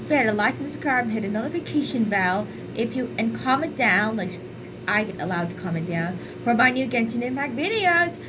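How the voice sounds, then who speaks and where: A woman giving casual playthrough commentary as a gaming channel host, halting and repeating her phrases. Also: fairly high pitch, noisy background. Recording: game music behind the voice. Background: game music.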